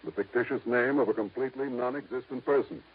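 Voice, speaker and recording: An actor playing a measured, discreet lawyer with a formal, deliberate way of talking in a 1950s radio drama. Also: male voice, mid-range voice, slight background noise, lightly acted. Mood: confiding, hesitant. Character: measured, discreet small-town lawyer guarding a secret. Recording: tinny, narrow-band vintage radio recording.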